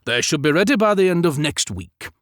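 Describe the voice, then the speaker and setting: A man's voice: fairly high pitch, sing-song, brisk, a bit bright, dry recording, studio quality, pitched above the performer's own voice. Man acting formal, deferential Victorian office manager. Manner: formal, composed, servile politeness.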